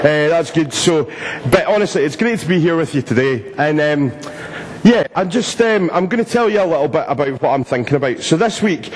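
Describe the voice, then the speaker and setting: A man's voice: on the high side, slightly rough. A Scottish man giving an informal, jokey talk to a church audience, poking fun at himself with lots of ums. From Scotland. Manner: jokey, self-mocking, many filler ums. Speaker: speaker at a church youth event. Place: church hall. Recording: long reverb of a large hall.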